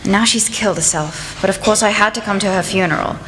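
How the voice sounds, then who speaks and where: A woman's voice: medium pitch, quick pace, fairly bright tone, slight background noise, lightly acted, low-quality recording. A woman playing a sour, sanctimonious village gossip in a radio play, prim and disapproving. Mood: sour, pious.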